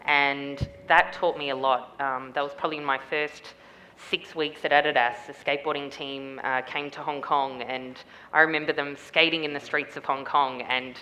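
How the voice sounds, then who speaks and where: A middle-aged woman with an Australian accent talks on stage with a low voice, chatty and upbeat. The sound is echoey, as from a big hall, with a faint hiss of noise behind it. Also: steady tone, normal speed, pushed and bright. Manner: chatty and upbeat, many ums. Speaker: guest speaker. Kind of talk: stage interview.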